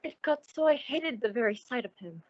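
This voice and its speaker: Actress as high, swooping naive radio heroine. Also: female voice, expressive, normal speed, no room sound, highs cut off. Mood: wistful, chatty. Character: pretty, naive, nervous woman, sociable and placating. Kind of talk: old-time radio suspense acting.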